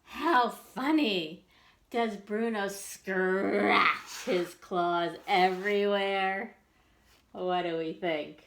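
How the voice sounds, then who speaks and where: A woman reading a picture book aloud to young children in an expressive storyteller's manner, with questions for her listeners. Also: mid-range voice. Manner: slow and expressive, pausing to ask listeners questions.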